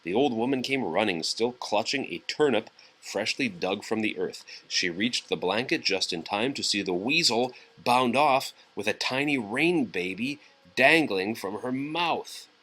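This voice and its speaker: Bright-voiced man reading a storybook. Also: mid-range voice, lively intonation, a little slow, low-quality recording. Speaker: storybook reader to a child. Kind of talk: reading a children's storybook aloud.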